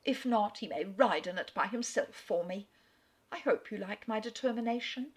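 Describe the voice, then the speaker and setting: A woman's voice: medium pitch, normal speed, husky, pitched above the performer's own voice, lightly acted. Pert, self-satisfied young Georgian lady writing a letter, lifted sing-song lilt as a woman reads her aloud. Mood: arch.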